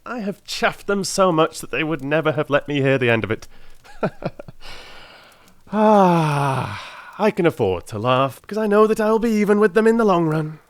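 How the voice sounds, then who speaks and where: A man playing a brisk, gleeful, self-assured gentleman detective in an audiobook, telling things in an animated, emphatic way. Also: fairly high pitch, dry recording, studio quality, pitched above the performer's own voice. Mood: amused, exultant.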